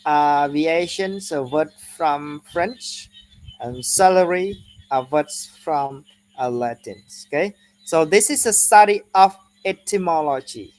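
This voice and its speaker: Slow, halting Khmer-accented male lecturer. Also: a little high, poor audio. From Cambodia. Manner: slow, halting, many "uh" and "okay" fillers. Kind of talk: online lecture.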